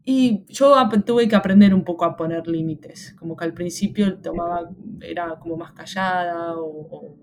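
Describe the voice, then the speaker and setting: Young Argentine woman, soft dark voice. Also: fairly low pitch, normal speed, few pauses, slight background noise. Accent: Argentine Spanish. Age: young adult. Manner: reflective, many fillers and restarts. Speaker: guest. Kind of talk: casual interview chat.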